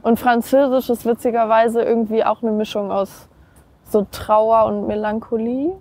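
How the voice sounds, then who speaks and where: A young woman singer from Germany chatting casually in an outdoor interview, relaxed and laughing easily, slipping English words into her talk. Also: on the high side, moderate pace. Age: young adult. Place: outdoors on steps by a river.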